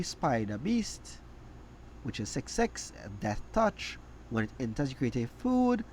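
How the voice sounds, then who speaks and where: A man whose voice is raspy and hoarse from a cold talks through a run of trading cards. His pitch bounces around a lot as he reads rules text and drops in short reactions. A faint hum of room noise sits under him. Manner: reads card text aloud, reacts with quick asides. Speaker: card game reviewer. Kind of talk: casual card review commentary.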